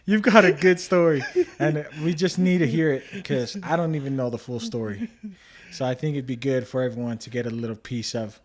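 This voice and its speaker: Man, podcast host, mid-pitched voice, friendly, loose casual intro. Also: brisk.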